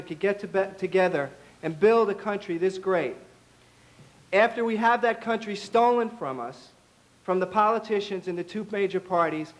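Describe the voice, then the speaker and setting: Hoarse man giving a political speech. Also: high voice, poor audio. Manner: halting with many ums, building to a rousing call. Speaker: party official giving a speech.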